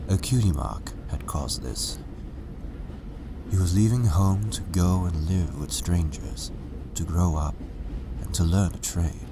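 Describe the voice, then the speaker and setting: A man's voice: sits low, noisy background. A man narrating a story as a scripted reading. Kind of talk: scripted fan fiction narration.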